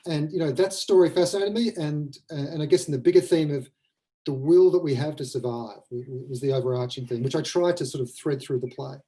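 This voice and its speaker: Mellow, thoughtful man reflecting aloud. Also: on the high side, rough recording. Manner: thoughtful, halting, repeats phrases as they form. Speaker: playwright adapting a novel for the stage. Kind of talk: reflective conversational talk on a webinar.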